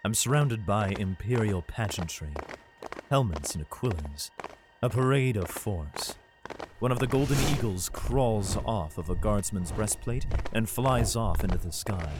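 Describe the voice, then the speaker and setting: A man's voice: on the low side, lively intonation, a little slow, background noise. A man acting a worried, protective father who narrates his own story in a sci-fi audio drama. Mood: brooding, weary. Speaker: narrator and lead actor. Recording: loud music under the voice. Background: dramatic music.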